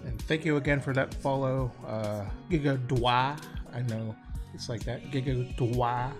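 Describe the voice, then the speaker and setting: A man with a mid-pitched voice livestreams a game in a casual, informal way. He reads the dialogue aloud, a little hesitant and prone to stumbling, with faint game music playing underneath. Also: unhurried. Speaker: game streamer.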